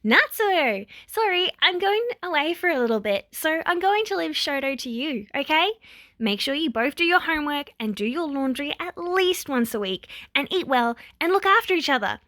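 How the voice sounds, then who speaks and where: A woman's voice: high voice, animated, moderate pace, fairly bright tone, no echo, studio quality, pitched above the performer's own voice. A woman voicing a composed young woman held hostage, a protective big sister, polite but firm with emotion held back. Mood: forced cheer. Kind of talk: dramatic fan fiction reading.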